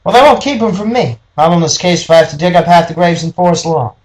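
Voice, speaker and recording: A man playing a determined, smooth-talking noir detective hero with terse, slangy speech in a radio play. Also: high voice, animated, fast, darkish tone.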